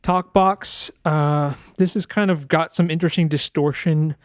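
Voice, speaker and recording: A man presenting a sound design software tutorial in a casual, informal way, thinking aloud as he demonstrates. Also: fairly high pitch, fairly even intonation, unhurried, no room sound, clean studio sound.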